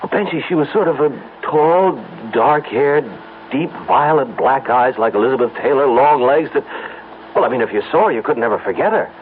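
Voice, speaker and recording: An American actor playing a smitten, excitable advertising man in an old-time radio drama, effusive and dreamy. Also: medium pitch, normal speed, a little hiss or hum, close to an everyday voice. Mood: dreamy, insistent. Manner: effusive, given to dreamy rhapsodies. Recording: muffled vintage radio broadcast, music behind.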